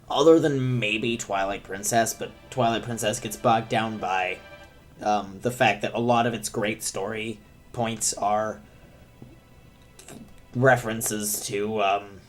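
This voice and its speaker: A young man with a cold talks over gameplay in a lowish, thickened voice. He rambles, loses his train of thought and pokes fun at himself, filling gaps with plenty of ums, while faint music plays underneath. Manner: rambling, self-deprecating, many ums. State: sick, deep voice. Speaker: gaming commentator. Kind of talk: let's play commentary.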